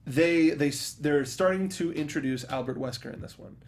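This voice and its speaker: Guy cohosting a movie podcast, kinda high voice, sarcastic and jokey, just riffing casually. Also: moderate pace, a little mellow. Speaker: podcast co-host. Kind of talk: casual movie review banter.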